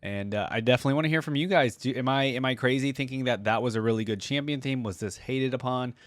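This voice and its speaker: A man's voice: medium pitch, fast talker, no room sound, studio quality. A man giving casual, informal reaction commentary as a video host, musing aloud as he goes.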